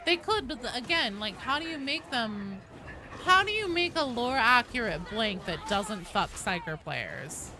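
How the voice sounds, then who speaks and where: A woman's voice: on the high side, big pitch swings, unhurried, music in the background. A woman chatting casually and swearing freely while she live streams a game. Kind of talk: casual live gaming chat.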